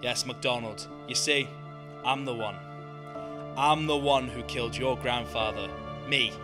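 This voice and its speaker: A man's voice: medium pitch, music in the background, natural voice. A man playing a gloating, mocking serial killer villain in an amateur radio play, with theatrical, taunting delivery. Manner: mocking, taunting, drawn-out menace.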